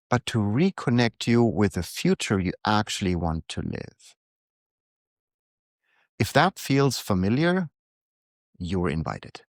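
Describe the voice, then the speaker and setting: A middle-aged man talks in a slow, unhurried way, his lowish voice rising and falling a great deal. The recording is dry and close, with no room sound around him. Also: no room sound. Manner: calm, unhurried, reflective. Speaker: newsletter author and coach. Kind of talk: reflective scripted monologue.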